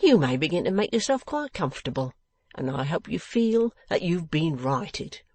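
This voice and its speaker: Woman putting on a loud, blustering, contemptuous older man. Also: deep voice, big pitch swings, normal speed, dry recording, voice pushed up. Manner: loud, mocking, emphatic. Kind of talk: dramatised audiobook reading.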